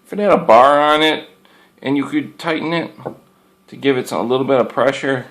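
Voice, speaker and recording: A man's voice: mid-range voice, normal speed, fairly bright tone. Man rambling through a casual show-and-tell. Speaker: hobbyist product reviewer.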